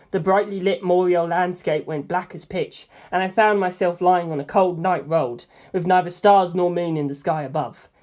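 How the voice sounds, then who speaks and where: A woman's voice: fairly low pitch, a little mellow. A woman reading a novel aloud as a scripted first-person narration. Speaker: amateur audiobook reader.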